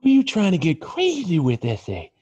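A man's voice: sits high, huge pitch swings, fairly quick, dark tone, breathy edge, dry recording, full character voice. A man playing a cocky, laid-back hippie male model with a slangy, dismissive drawl in an amateur comedy table read on a video call. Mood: smug, taunting. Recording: dull, dry video-call sound.

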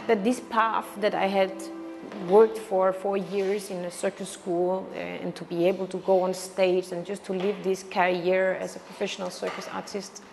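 A young woman with a Danish accent, a circus artist speaking in a reflective personal interview. She talks haltingly and searches for words in English, which is not her first language. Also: mid-range voice, flat delivery, creaky, a little mellow. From Denmark. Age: young adult. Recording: reverberant with background music.

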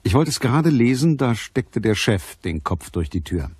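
A man's voice: medium pitch, very animated, moderate pace, a little mellow, slight background noise, close to an everyday voice. An actor playing a matter-of-fact private detective who narrates his case in a radio crime play.

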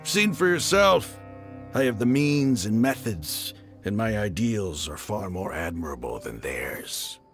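An actor playing a proud, hostile desert mercenary leader and fervent believer in a video game, with a gravelly, defiant delivery. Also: male voice, fairly low pitch, very animated, a little slow. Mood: mocking. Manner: defiant, theatrical, sneering. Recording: music under the voice.

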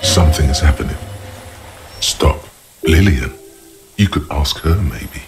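An actor playing a grave, observant inner-voice narrator in a video game, speaking in measured, portentous literary prose. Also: male voice, rumbling low, animated, creaky, noisy background, heavily acted. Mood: hushed wonder, ominous.

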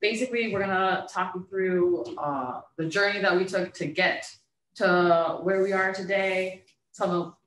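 A woman's voice: mid-range voice, steady tone, measured pace, a bit bright, far from the mic, a little hiss or hum. A young woman from Mexico, a co-founder talking casually to students about her path. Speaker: guest speaker, co-founder. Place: university classroom. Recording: echoey classroom, mic from afar.

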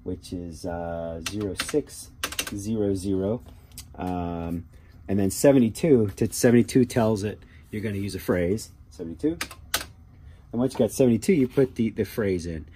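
A man giving a casual how-to demonstration as a hobbyist, talking haltingly and thinking aloud. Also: fairly low pitch, mellow. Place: small cluttered workshop indoors. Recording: noticeable background noise with clicking keys.

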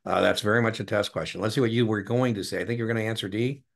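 A middle-aged American man with a gravelly voice tutoring one-on-one over a video call, informal, encouraging and given to tangents. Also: lowish voice, steady tone, rapid-fire. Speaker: exam tutor. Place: indoor room on a video call.

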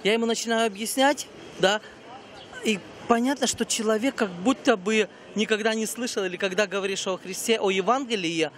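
A man in his thirties, a guest minister in an outdoor street interview, telling stories in an animated way into a handheld mic. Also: sits high, brisk. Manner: animated storyteller, acts out quotes. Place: busy pedestrian street outdoors. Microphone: host's handheld mic.

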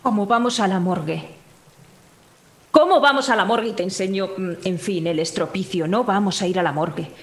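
A woman with a Spain accent improvising as a tabletop role-player, haltingly narrating her character's actions and mouthing little sound effects. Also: medium pitch. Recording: echoey room, clear background noise.

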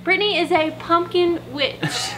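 A woman's voice: very high voice, a little slow. A young woman co-hosting a casual fishing vlog, giggly and playful, talking to camera outdoors by a lake and then at home. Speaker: fishing vlogger, co-host. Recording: noisy camera audio.

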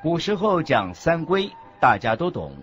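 A man's voice: on the high side, big pitch swings, unhurried, fairly bright tone. A man reading a scripted religious teaching as the narrator of a radio lecture. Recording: dull lo-fi audio with background music.

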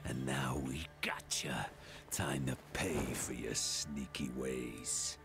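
An actor playing a fierce bandit boss in a video game, with a taunting, menacing manner. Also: male voice, a bass voice, takes it slowly, vocal fry, music in the background, full character voice. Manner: menacing, sneering.

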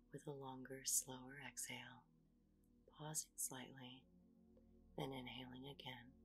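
A woman guiding a meditation in a soft, whispery voice, giving slow, soothing, gentle instructions. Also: a bass voice, low-quality recording. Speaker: meditation guide.

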